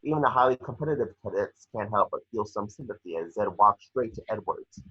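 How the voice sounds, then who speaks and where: A man reading screenplay action lines aloud in a somewhat halting way, as narrator of an amateur table read. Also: lowish voice, expressive, pushed and bright, husky, highs cut off. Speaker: reader of action descriptions.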